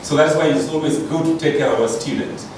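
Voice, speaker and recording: A man's voice: a little high, fairly quick. A man with a Kenyan accent, a college lecturer giving a conference presentation in a polite, roundabout way. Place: large echoey room, recorded from afar. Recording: echoey room, distant mic, noisy.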